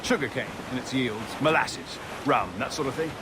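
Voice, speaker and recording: A man's voice: medium pitch, normal speed, heavy background noise, close to an everyday voice. A man playing a genteel, chatty age-of-sail merchant in a video game, polite, wordy and easily impressed. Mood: chatty, modest. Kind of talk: period video game acting. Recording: loud music over the voice.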